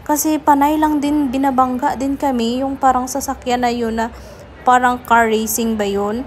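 A woman's voice: high-pitched, fairly even intonation, normal speed. A Filipino woman narrating a casual vlog, chattily addressing her viewers. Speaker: vlogger.

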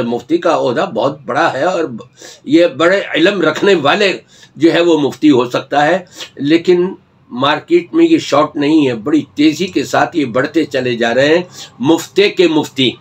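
An older man, an Islamic scholar, talks earnestly to the camera, admonishing and gesturing as he speaks from a study lined with books. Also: a little high. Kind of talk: religious talk to camera.

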